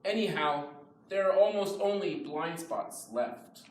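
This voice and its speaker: A man reciting poems in translation at a live poetry reading, in a measured style. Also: high-pitched, a little mellow. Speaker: reader of English translations. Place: live event in a reverberant room. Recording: echoey room, distant mic, faint noise.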